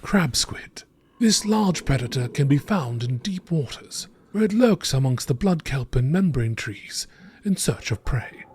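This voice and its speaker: A man reading scripted nature documentary narration in a measured, deliberate way. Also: medium pitch, very animated, a little mellow, a little hiss or hum.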